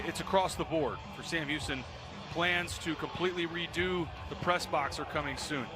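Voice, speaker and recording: A man working as a color commentator, giving analysis during a live football broadcast. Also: fairly high pitch.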